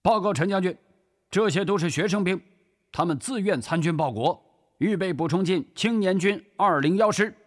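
A man's voice: sits high, normal speed, long reverb tail, voice pushed up. A man voicing a deferential army colonel in an audiobook, reporting in a stiff, clipped military way. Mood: dutiful.

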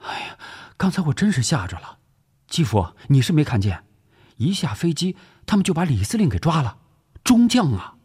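An officer's anxious wife, put on by a male narrator frets and exclaims in an audiobook drama. The voice is lifted high and husky, with quick, swooping questions. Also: sing-song, a little mellow. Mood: shaken, agitated.

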